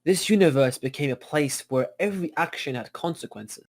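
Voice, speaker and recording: Man reading as a solemn, slightly high fantasy narrator. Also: lively intonation, brisk.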